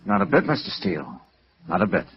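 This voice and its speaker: Wry radio detective, swooping delivery. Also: male voice, medium pitch, wildly swooping pitch, brisk, gravelly, heavy background noise, heavily acted. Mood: dry, probing. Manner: clipped, matter-of-fact. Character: wry, hardboiled middle-aged male freelance insurance investigator. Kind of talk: 1950s radio noir acting and narration. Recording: muffled vintage radio recording with music.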